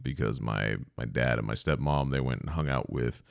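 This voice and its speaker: A man's voice: extremely low pitch, normal speed, gravelly, no echo, studio quality. A congested, sniffly man hosting his own podcast, rambling casually and swearing freely. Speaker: podcast host. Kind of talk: rambling solo podcast chat.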